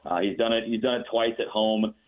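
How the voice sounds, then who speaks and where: A man's voice: on the low side, fairly even intonation, a little fast. Man on a tinny phone line. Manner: chatty, fact-heavy, frequent restarts. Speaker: radio play-by-play announcer, guest. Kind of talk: casual radio interview.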